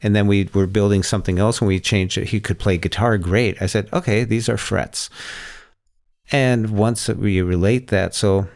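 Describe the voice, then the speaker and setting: A man hosting a casual tech discussion, talking in a halting way and often rephrasing as he explains. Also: lowish voice, fairly even intonation, creaky, a little mellow. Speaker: podcast host.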